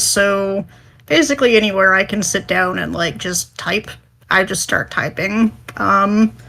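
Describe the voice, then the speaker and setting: A woman's voice: medium pitch, a bit bright. Woman chatting as a podcast guest. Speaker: podcast guest, script writer. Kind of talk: casual chat.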